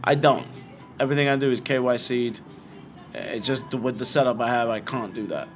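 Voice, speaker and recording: A British man hosting a live show and answering viewer comments in a frank, blunt way, firing off quick rhetorical questions. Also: medium pitch, soft background music. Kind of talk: casual livestream commentary.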